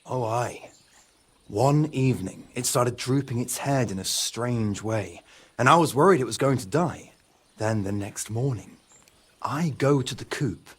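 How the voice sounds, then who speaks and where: Wary village peasant guy, superstitious, folksy, rough husky voice, medieval game. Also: mid-range voice, unhurried. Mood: confiding. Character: wary, superstitious male medieval villager who keeps hens. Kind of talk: dramatic game dialogue acting.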